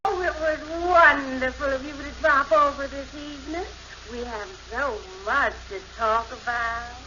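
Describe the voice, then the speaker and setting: A woman's voice: high voice, a little slow, bright, forceful tone. A woman acting a young, refined, cultured society girl, polite and gushing, in a 1950s radio sitcom. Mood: admiring. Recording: dull vintage radio, faint noise.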